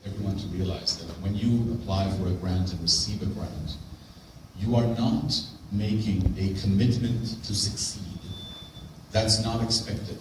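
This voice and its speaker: A man presenting on grants at a conference in a hotel conference room, speaking in a clear, explanatory and emphatic way. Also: on the low side, steady tone, a little slow, background noise. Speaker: grant program officer. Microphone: handheld mic, far camera. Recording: very echoey room from far off.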